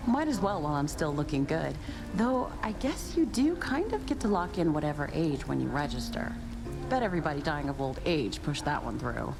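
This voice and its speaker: A cheeky young nymph in a video game. She is casual and breezy, with very lively pitch movement and music behind her. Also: moderate pace, creaky, full character voice. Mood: breezy, wry. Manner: casual, sardonic, expressive.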